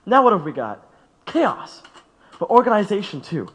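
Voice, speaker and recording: A man's voice: sits high, very animated, normal speed, fairly bright tone, husky, full character voice. Fervent young male strike organizer. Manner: earnest, fervent. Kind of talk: audio drama acting.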